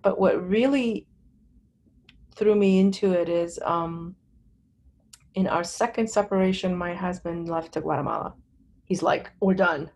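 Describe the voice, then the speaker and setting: A middle-aged woman from Lebanon giving candid personal testimony as an interview guest, in a halting, conversational way. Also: fairly low pitch, darkish tone.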